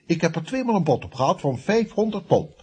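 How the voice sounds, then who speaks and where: Gruff, husky, blustering boss. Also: male voice, a little high, sing-song, fairly quick, a little mellow, full character voice. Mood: businesslike politeness. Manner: curt, blustering, indignant. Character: gruff, irritable, domineering male estate agency boss. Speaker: actor in a radio play. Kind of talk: Dutch radio comedy drama acting.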